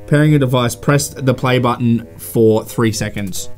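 A man's voice: medium pitch, normal speed, over music. A man in his twenties co-hosting a gadget video in a studio, chatting casually with slang, jokes and swearing. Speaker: co-host testing gadgets. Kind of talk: casual banter. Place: small indoor studio at a table.

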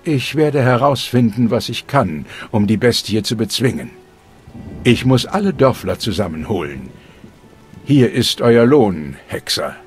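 A stern old fire-cult preacher, solemn and preaching, with a lively rise and fall in his voice. Game music plays softly underneath. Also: medium pitch, a little slow. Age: older. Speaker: game character. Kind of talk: dubbed video game dialogue.

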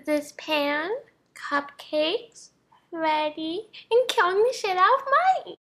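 A woman's voice: extremely high pitch, lively intonation, slow, pushed and bright, full character voice. A woman voicing a brash, cheeky animatronic girl in a cartoon comic dub, with bold, exaggerated delivery.

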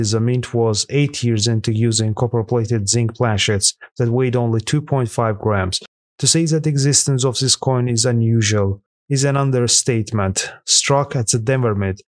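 Male narrator, mid-pitched, steady scripted read, dry close mic. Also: no echo. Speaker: narrator of a coin auction countdown.

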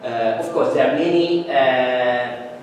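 A man's voice: mid-range voice, takes it slowly, darkish tone, slight background noise. A man in his thirties giving an academic lecture in a seminar room, a non-native speaker who hesitates often, with many ums and restarts. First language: Italian. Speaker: guest lecturer. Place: university seminar room in front of a projector screen. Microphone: far room camera, no mic seen. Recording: echoey room from far off.

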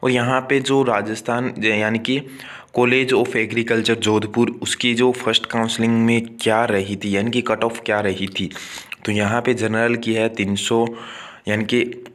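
A man's voice: mid-range voice, little pitch movement, measured pace, a bit bright. A man, walking viewers through an admissions website on a screen recording and restating figures as he goes. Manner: addresses viewers as guys, repeats and restates numbers with frequent "yaani ki". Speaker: online tutor for agriculture exams. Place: indoors, voice-over on a phone screen recording.

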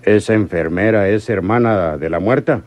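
An actor in a radio drama playing a ruthless, commanding crime boss who speaks curtly and questions like an interrogator. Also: male voice, on the low side, normal speed, a little mellow, natural voice. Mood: stern, probing. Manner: curt, interrogating, domineering. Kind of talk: radionovela acting.

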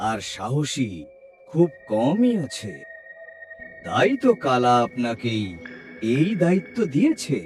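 A man voicing a flattering, goading demon sidekick in a horror fairy-tale cartoon. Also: medium pitch. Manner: fawning, drawn-out. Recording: music under the voice.

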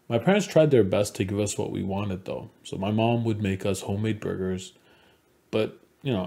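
A man in his forties from Montreal gives a prepared conference presentation in a measured, earnest storytelling manner. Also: fairly low pitch, dark tone. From Montreal, Canada. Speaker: conference speaker, game developer. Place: small indoor room at home.

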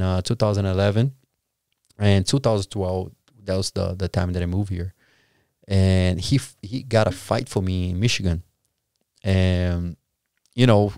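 A man in his forties from Brazil, with a Brazilian Portuguese accent, speaking as a podcast guest into a studio boom mic in a halting way, searching for words. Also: dark tone, clean tone, no echo. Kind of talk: casual interview. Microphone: studio boom mic with headphones.

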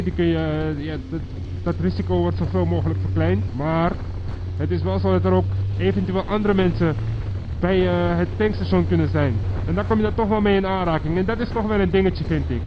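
High-voiced man vlogging through muffled road noise. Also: fairly even intonation, a little fast. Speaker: motovlogger. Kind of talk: opinion monologue to viewers while riding. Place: outdoors on a moving motorcycle in city traffic. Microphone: microphone inside a motorcycle helmet. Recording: muffled helmet recording with loud engine and wind noise. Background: engine, wind and road traffic noise.

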